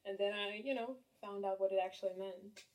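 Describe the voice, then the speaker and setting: Distant mic, woman in early twenties, medium pitch, casual, chatty, self-deprecating. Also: fairly even intonation, brisk, darkish tone. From Kazakhstan. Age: early 20s. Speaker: interview guest, English tutor. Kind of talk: casual conversational interview.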